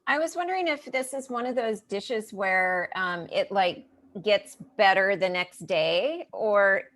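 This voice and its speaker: Woman, class participant, slow hesitant speech, video call. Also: mid-range voice, a bit bright.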